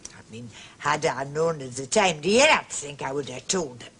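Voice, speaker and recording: An actress playing a prim, gossipy and indignant landlady in a period mystery drama, husky and emphatic, forever justifying herself. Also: low voice, lively intonation, moderate pace, fairly bright tone, a little hiss or hum. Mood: confiding. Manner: emphatic, self-justifying, repetitive.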